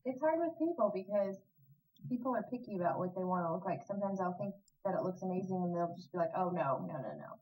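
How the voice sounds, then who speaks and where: A woman's voice: medium pitch, lively intonation, fast talker, darkish tone, rough recording. A woman chatting casually as an interview guest, telling little stories and acting out quotes. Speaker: interview guest, singer-songwriter.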